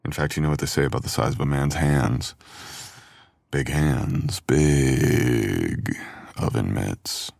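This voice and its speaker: Very deep-voiced, teasing boyfriend played by a man. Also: slow pace, gravelly, a little mellow, dry recording, studio quality, exaggerated voice. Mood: playful, teasing. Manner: playful, teasing, intimate. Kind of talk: boyfriend ASMR roleplay audio acting.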